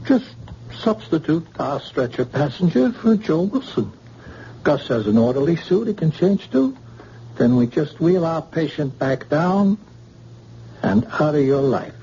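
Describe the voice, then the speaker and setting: A drawling radio gangster. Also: male voice, fairly high pitch, big pitch swings, unhurried, dark tone. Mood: menacing. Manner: slangy tough-guy talk, drawled threats. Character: menacing male gangster, cool and threatening. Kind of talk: 1940s radio acting. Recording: dull old radio recording, faint hiss.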